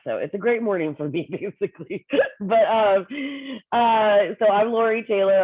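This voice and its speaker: A woman's voice: on the low side, no echo, phone-quality sound. A woman professor, stuffed up from a cold, chatting casually and apologetically on a video call. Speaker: anthropology professor.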